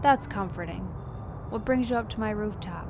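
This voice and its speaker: A woman playing a tough, sarcastic, weary female police captain in an audio drama, gruff and confrontational. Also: mid-range voice, normal speed, noisy background, natural voice. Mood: grudging.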